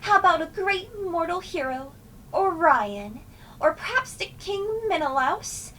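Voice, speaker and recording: Young woman as laid-back party god co-host, very high, slow drawl, slangy, teasing, mischievous, audio drama. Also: very animated, fairly bright tone, full character voice. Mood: playful. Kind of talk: amateur audio drama acting.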